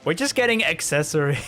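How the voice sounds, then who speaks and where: A man giving casual, chatty game commentary on a live stream, rambling and easily amused. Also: high-pitched. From Australia. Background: game music.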